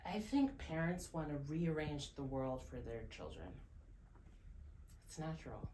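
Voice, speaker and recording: A woman's voice: low-pitched, expressive, measured pace, dark tone. A woman speaking reflectively in an interview, in an echoey room with faint noise.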